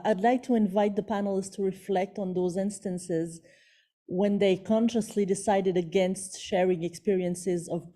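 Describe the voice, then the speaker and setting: A woman moderating a panel discussion and reading out audience questions in a brisk way. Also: medium pitch, mellow.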